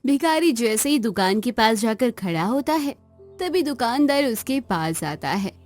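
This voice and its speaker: A woman's voice: fairly high pitch, very animated, unhurried, soft background music. A woman narrating a scripted children's story.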